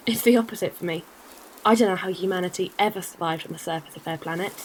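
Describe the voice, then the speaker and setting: A woman's voice: fairly low pitch, fast, background noise, lightly acted. A woman playing a friendly, practical alien courier in a science-fiction audio drama, easygoing and matter-of-fact.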